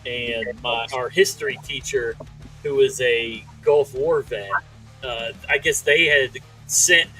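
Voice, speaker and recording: A man in his late thirties chatting casually and profanely as a podcast co-host, telling stories in an animated way. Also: medium pitch, slow, faint music behind, poor audio. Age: late 30s.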